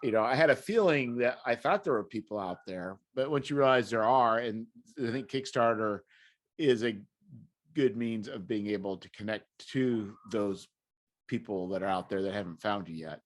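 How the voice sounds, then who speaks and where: A man hosting a podcast in casual conversation, talking haltingly and often restarting his phrases. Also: lowish voice. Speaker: podcast host.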